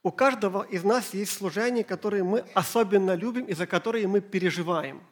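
A middle-aged man, Ukrainian his first language, preaching a church sermon in short phrases with pauses for an interpreter. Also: high voice. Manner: slow, halting German, pausing phrase by phrase. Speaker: pastor.

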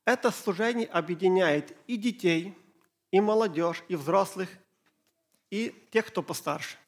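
High-voiced Ukrainian-born man preaching. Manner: slow, halting German, pausing phrase by phrase. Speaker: pastor. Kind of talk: sermon in Russian with interpreter.

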